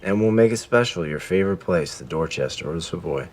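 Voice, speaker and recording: A smooth, wealthy man having a secret affair, with a very low voice and lively intonation. It is naturalistic TV drama, with faint noise behind. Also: fast. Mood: accommodating.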